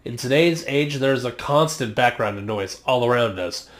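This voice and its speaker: A man in his thirties talks straight to camera in a mid-range voice, reading a prepared explainer in a steady, earnest way. He records in a small home studio on a condenser microphone, so the sound is close and clean. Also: mid-range voice. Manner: measured, earnest, read-from-script delivery. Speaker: internet marketing vlogger. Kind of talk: scripted explainer monologue. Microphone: studio condenser mic on stand, just off frame.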